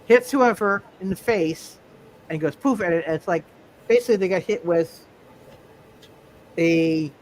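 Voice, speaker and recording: A man narrating a tabletop roleplaying game as game master, speaking haltingly and often repeating his words. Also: medium pitch, poor audio.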